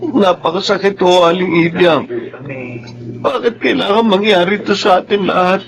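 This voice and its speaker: A man's voice: high voice, moderate pace, gravelly, crowd noise, poor audio. A man playing a loyal, grieving young son in a radio drama, pleading respectfully.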